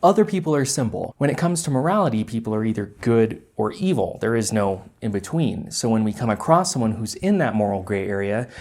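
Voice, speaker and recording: Sarcastic video-essay narrator. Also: male voice, lowish voice, animated, brisk, few pauses, darkish tone. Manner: sarcastic, wry asides.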